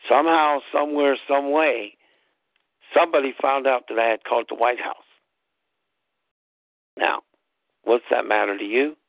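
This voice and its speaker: A man's voice: mid-range voice, fairly even intonation, moderate pace, long pauses, no room sound. A man who hosts a talk show, telling a story in an emphatic way, spelling words out and repeating himself for stress. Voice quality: loud voice. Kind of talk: casual storytelling monologue. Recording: tinny phone-like line, dry.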